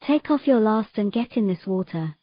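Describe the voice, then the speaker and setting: A woman playing a calm, frank, teasing mermaid in a fantasy audio drama, speaking in a plain, coaxing way. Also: medium pitch, moderate pace, muffled recording, natural voice. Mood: teasing, firm.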